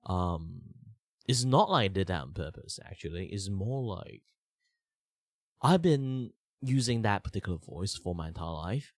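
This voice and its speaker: A young man from Malaysia, slightly sick with a rough throat, chatting casually and rambling on his art stream with many fillers and restarts. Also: low-pitched, expressive, unhurried, no echo, studio quality.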